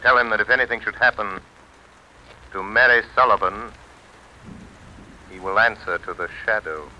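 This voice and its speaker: Piercing, deep, unseen menacing avenger. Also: male voice, sharp tone. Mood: stern warning. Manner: commanding, ominous, deliberate. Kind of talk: 1930s radio drama acting. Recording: noisy old-time radio recording with rain.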